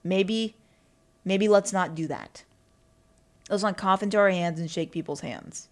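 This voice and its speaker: A woman's voice: mid-range voice, a little slow. A young woman hosting her own podcast and rambling casually on her own, with lots of fillers and asides. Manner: rambling and chatty, with lots of fillers and self-talk. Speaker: podcast host. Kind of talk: casual solo podcast monologue.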